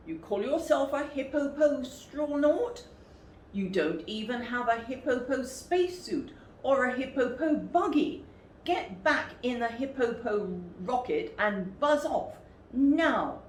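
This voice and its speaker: A woman's voice: a little high, lively intonation, slow pace, recorded from a distance, voice pushed up. A woman voicing a pompous, rude, rich male hippopotamus astronaut from a storybook, with haughty, emphatic delivery. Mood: angry, dismissive. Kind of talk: storybook character voice. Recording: roomy echo, faint background noise.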